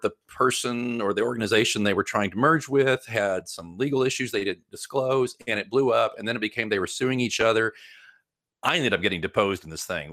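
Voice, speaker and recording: Low-voiced man, emphatic and rambling. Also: fairly bright tone, no room sound, clean studio sound. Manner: emphatic, rambling, self-correcting. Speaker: guest PR crisis consultant. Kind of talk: informal interview explanation with anecdotes.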